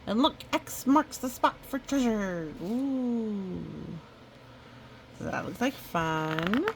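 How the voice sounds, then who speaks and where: Slow-paced woman reading a picture book. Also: on the high side, noisy background. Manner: chatty, expressive storytelling with playful sound effects. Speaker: storybook reader. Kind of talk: casual picture-book read-aloud with chatty asides.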